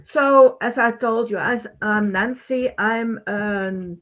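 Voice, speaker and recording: A middle-aged woman from Belgium, a native Dutch speaker with a Belgian Dutch accent, presenting a webinar informally in halting, non-native phrasing. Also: on the high side, fairly bright tone. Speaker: webinar host, teacher. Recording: thin phone-like band-limited webinar audio.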